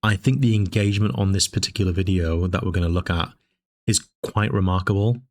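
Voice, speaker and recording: A man hosting his own political commentary channel, talking through his points in a measured, discursive way with frequent fillers and restarts, his voice a little dry. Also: low-pitched, darkish tone. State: dry voice, sipping tea. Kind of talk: casual spoken commentary.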